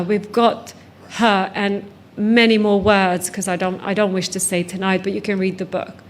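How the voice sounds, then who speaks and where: Higher-voiced woman giving personal testimony. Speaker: guest speaker, trafficking survivor and author. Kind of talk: personal testimony told to a conference audience.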